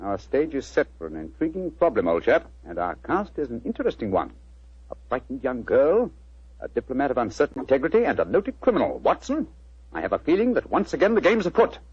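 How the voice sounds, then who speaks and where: An actor in a 1940s radio drama playing a brisk, shrewd gentleman detective with an English accent and a formal, theatrical manner. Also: mid-range voice, big pitch swings, breathy edge, no echo. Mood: wry, shrewd. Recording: dull, dry old radio recording.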